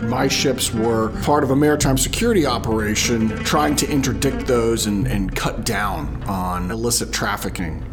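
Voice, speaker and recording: A man's voice: mid-range voice, moderate pace, few pauses, music in the background. A middle-aged man from Texas with an American accent tells his own navy story in a conversational, plain-spoken way, as an admiral on a podcast. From Texas, USA. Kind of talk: casual first-person storytelling for a podcast.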